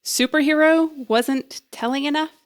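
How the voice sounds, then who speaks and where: A woman's voice: sits high, fairly even intonation, measured pace. A woman playing a nervous, jokey young woman who rambles and puts herself down as she bluffs through an interview in an audio drama. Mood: awkward, wry. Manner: rambling, self-deprecating, flustered. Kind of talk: audio drama acting, conversational comedy.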